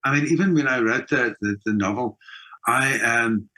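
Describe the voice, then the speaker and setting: A man's voice: mid-range voice, moderate pace, sharp tone, poor audio. A South African man speaking reflectively in an interview, earnest and opinionated, often acting out quoted exchanges. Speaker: writer and documentary maker being interviewed.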